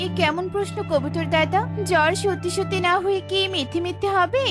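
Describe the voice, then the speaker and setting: A woman's voice: very high-pitched, fairly even intonation, fairly quick, over music. A woman voicing a kind, earnest and firmly principled little female bird in a children's cartoon. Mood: indignant. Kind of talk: animated children's cartoon dialogue.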